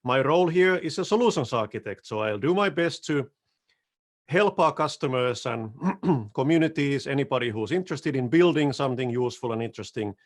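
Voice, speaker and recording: A middle-aged man from Finland with a Finnish accent, presenting a technical talk over a video call in a relaxed, self-deprecating way. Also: mid-range voice. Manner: relaxed, self-deprecating, occasional restarts and throat clearing. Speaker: solutions architect presenting. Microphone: headset on video call.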